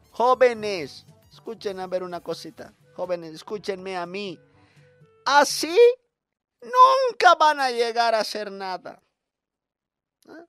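A young man performing a weary, scolding schoolteacher with a Bolivian accent in a comic radio monologue, halting and repeating himself in exasperation. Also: very high-pitched, fairly bright tone, clear, resonant tone, dry recording, studio quality, exaggerated voice. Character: weary, scolding schoolteacher given to rambling lectures.

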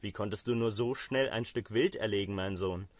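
A man's voice: on the low side, moderate pace, dry recording, close to an everyday voice. Man reading as a solemn old patriarch father. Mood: wary.

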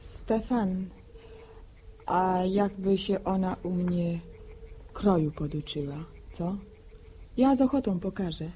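A woman's voice: fairly low pitch, slow pace, pauses often, warm tone, a little hiss or hum. An actress playing a proud, self-reliant young village woman, plain-spoken and earnest, in a 1960s radio drama. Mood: tender, guarded. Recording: old 1960 radio drama recording.

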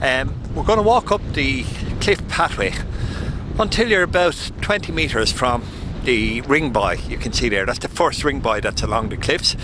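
A man's voice: mid-range voice, expressive, normal speed, noisy background. A man giving an informal, explanatory guide to locations as the host of a photography vlog.

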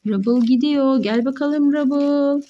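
A young mother with a high voice hosts a toy play video for children. She narrates playfully, with frequent exclamations and questions put to the little viewers. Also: measured pace, highs cut off.

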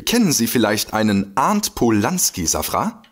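An actor playing an excitable, boastful detective with a husky voice in a comedy mystery audio drama. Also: male voice, lowish voice, normal speed. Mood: suspicious, knowing. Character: excitable, boastful male detective eager to explain. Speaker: amateur detective.

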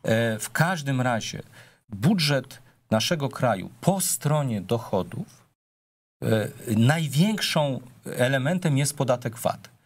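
A man's voice: medium pitch, expressive. Middle-aged man, politician on a TV panel, argumentative, hesitant fillers, studio mic. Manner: measured, argumentative, with frequent hesitations. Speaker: politician guest. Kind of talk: political TV debate.

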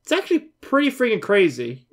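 A man's voice: sits high, wildly swooping pitch, a little fast. A man in his twenties giving casual, rambling, informal off-the-cuff commentary as a reaction video host. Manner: rambling, informal, mild swearing. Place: bedroom, indoors.

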